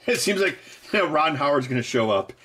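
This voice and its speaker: A man's voice: a little high, big pitch swings, fairly quick, fairly bright tone. A man hosting a live stream, rambling casually and joking, laughing often.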